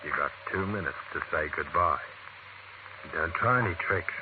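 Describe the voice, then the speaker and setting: A deep-voiced man playing a cold hired killer behind the wheel of a cab. He is blunt, curt and taunting, in old radio drama style. The sound is narrow and noisy. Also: normal speed, natural voice. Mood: cold threat. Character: cold gangster henchman posing as taxi driver.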